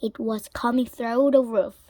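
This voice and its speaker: A woman reading a children's story aloud in a dramatic way, sometimes hushed. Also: fairly high pitch, steady tone, unhurried, no echo. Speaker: storybook reader.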